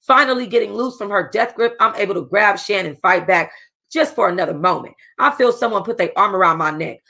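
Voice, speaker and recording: A woman reading a first-person novel aloud for a book club, casual and expressive, with a streetwise tone. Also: medium pitch, fairly quick, creaky.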